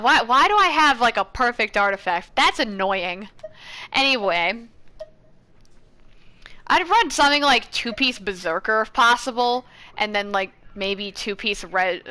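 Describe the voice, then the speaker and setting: A woman's voice: on the high side, bright, forceful tone. A young woman chatting casually as she gives gameplay advice, rambling and thinking aloud. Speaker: gaming content creator. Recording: game music behind.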